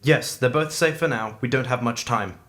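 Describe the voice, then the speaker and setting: A male actor playing a shrewd, commanding otherworldly investigator in an audio drama, measured and articulate. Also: medium pitch, a bit bright, lightly acted. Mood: urgent.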